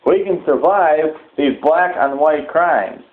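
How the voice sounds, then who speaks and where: A man delivering a sermon-like public address in halting, deliberate phrases, repeating words for emphasis. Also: on the high side. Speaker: preacher giving a public address. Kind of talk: sermon-like extremist political address. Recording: muffled, thin phone-like audio.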